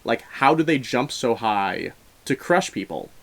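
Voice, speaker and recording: A man's voice: medium pitch, sing-song, measured pace, gravelly. A man hosting a film review show, talking casually and enthusiastically to viewers and sharing his opinions in a chatty way.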